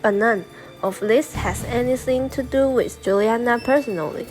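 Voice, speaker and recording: A woman plays an earnest female investigator in a radio drama. Her voice is fairly high, the delivery scripted, with light music underneath. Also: normal speed, natural voice. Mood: earnest, intent. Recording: music behind the voice.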